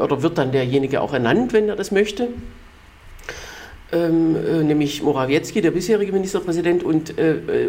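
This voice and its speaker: A man's voice: fairly high pitch, a little mellow. Male podcast co-host, talky explainer, lots of ums and restarts. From Germany. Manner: explains at length with many fillers and restarts. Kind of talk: casual political chat.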